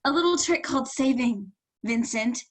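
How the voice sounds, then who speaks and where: An actress playing a wary young woman in a staged drama reading. Her voice sits fairly high, and she speaks in clipped, guarded phrases, holding someone at a distance. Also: expressive, moderate pace. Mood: curt, sarcastic. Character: wary, startled young woman hiding from abusive ex. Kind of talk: staged play reading over video call.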